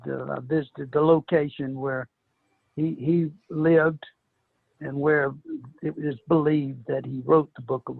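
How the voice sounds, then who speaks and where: Gravelly older man on a tinny line. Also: on the high side, dry recording. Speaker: panel guest. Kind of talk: personal storytelling.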